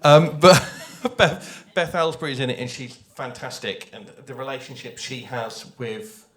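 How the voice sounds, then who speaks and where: Male panel speaker, writer, medium pitch, thoughtful, halting delivery, reflective pauses, off-the-cuff, live microphone. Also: a bit bright. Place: live panel at a games festival. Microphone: handheld or shared panel microphone.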